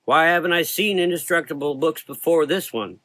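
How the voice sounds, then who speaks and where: A bold, caring young woman from a gothic horror audio play, acted by a man. The voice is lifted in pitch and bright, with a blunt, questioning, informal way of talking. It is recorded dry and close. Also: normal speed, dry recording, close to an everyday voice. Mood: curious, puzzled.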